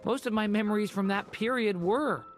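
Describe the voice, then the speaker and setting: A man voicing a gentle young dragon in human form for a video game, polite and earnest. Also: sits high, normal speed, faint music behind, natural voice.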